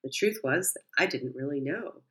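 A woman reads a first-person story in a calm, measured way. Her voice is low for a woman and steady. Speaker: narrator. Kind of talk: first-person audiobook narration.

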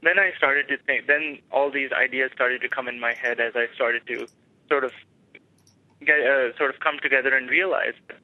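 A man's voice: medium pitch, fairly even intonation, no echo. Young Pakistani man on a phone line. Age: 20s. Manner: halting, many restarts and fillers. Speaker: caller, medical resident. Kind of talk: phone-in radio talk. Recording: tinny dry phone line.

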